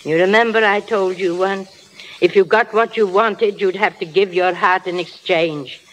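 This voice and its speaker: A woman's voice: mid-range voice, fairly even intonation, normal speed, lightly acted. Woman plays stern but loving elderly grandmother, resolute and admonishing, vintage radio melodrama with faint noise. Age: older. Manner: firm, plain-spoken, admonishing. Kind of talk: 1930s radio drama acting. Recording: noisy vintage radio broadcast.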